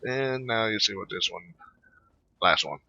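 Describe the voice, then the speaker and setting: A dry, muffled recording of a man with a low voice. He comments on a video game in a casual, halting way, and his tone is hard-edged and raspy. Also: lively intonation, bright, forceful tone, no room sound. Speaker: gaming video host. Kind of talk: casual gameplay commentary, reading dialogue choices. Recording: dull lo-fi mic.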